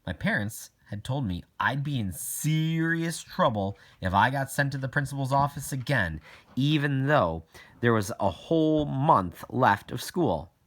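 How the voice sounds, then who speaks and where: A man's voice: medium pitch, expressive, a little slow, a bit bright. A man reading a children's novel aloud as a first-person narrator. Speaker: audiobook reader.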